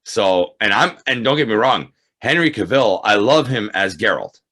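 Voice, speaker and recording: A man's voice: lowish voice, lively intonation, normal speed, pushed and bright. A man talking as a guest movie reviewer in a casual, agreeable, conversational discussion. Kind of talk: casual chat about movies.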